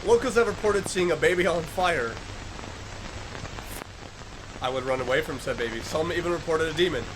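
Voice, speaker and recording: Higher-voiced man reacting casually, noisy background. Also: animated, fast talker. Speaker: reaction video host.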